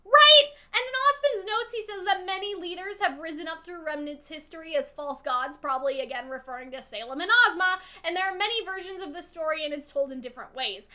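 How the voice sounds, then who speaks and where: A woman's voice: very high voice, fairly quick, hardly any pauses, bright, forceful tone. A woman giving casual, opinionated story commentary, emphatic and exasperated, swearing freely and often checking in with the listener. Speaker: vlogger, story analyst.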